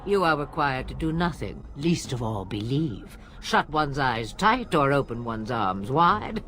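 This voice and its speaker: A woman's voice: a bass voice, big pitch swings, a little slow, fairly bright tone, slight background noise, heavily acted. An older woman playing a cryptic, mocking old witch in a fantasy game, theatrical and imperious. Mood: wry, dismissive. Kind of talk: fantasy video game acting.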